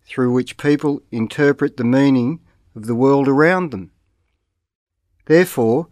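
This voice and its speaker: Guy reading out practice answers for a language course, slow and careful, mid pitch, dry close sound. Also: dry recording. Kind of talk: scripted read-aloud model answers.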